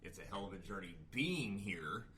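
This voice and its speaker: A man co-hosting a wrestling podcast, hoarse from shouting, joking in casual chat. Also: low-pitched, animated, moderate pace, slight background noise. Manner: joking, laid-back. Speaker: podcast co-host.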